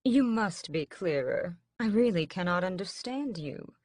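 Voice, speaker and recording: Lady doing a smug vampire guy for an audiobook, slow lazy drawl, lowish, sinister and mocking. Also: animated, no echo, studio quality. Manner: drawling, casual menace. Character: suave, sinister male vampire captor, languid and mocking.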